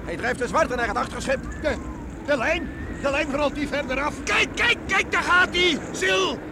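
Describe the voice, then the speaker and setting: An actor in a Dutch radio play, playing an excited villager on the shore who shouts and exclaims repeatedly. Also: male voice, very high-pitched, moderate pace. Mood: tense excitement. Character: frantic villagers on shore watching a sea rescue. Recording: noisy outdoor storm scene, crowd behind.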